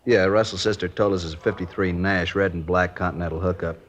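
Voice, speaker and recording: Man playing a plainspoken american detective, deep voice, rattles off facts fast n clipped, old radio show. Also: fairly even intonation, lightly acted. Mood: measured, serious. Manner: clipped, matter-of-fact, drops subjects from sentences. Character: plainspoken, methodical male detective sergeant from out of town. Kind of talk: 1950s radio crime drama acting. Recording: dull vintage radio recording.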